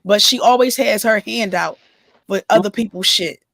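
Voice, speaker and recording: A hoarse woman, losing her voice from a coming cold, co-hosting a casual gossip livestream. She explains her views at length in a measured way. Her voice sits at an ordinary pitch with a dry, close sound. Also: fairly bright tone, dry recording. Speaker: livestream co-host.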